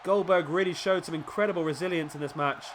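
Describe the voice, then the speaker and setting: A man, sniffly with a cold, commentating a wrestling video game play by play and getting excited at big moves. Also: a little high, fast, a little hiss or hum.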